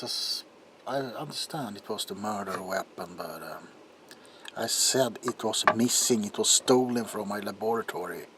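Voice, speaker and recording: A man playing a troubled, desperate doctor in an ASMR roleplay monologue, speaking haltingly in a hushed voice that often drops toward a whisper. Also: medium pitch. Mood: uneasy, guarded. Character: troubled doctor protesting innocence, desperate and haunted. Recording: audible background noise.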